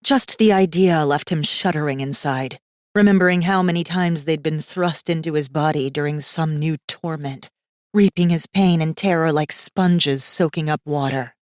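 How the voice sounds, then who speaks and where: A woman's voice: low-pitched, moderate pace, dry recording, clean studio sound. A woman reading scripted fantasy fiction as an audiobook narrator.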